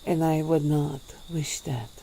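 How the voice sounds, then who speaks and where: Lady doing a low husky old vampire woman, super slow, dark soft tone, faint hiss behind. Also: fairly even intonation, low-quality recording. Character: ancient brooding female vampire, wry and weary. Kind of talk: ASMR roleplay.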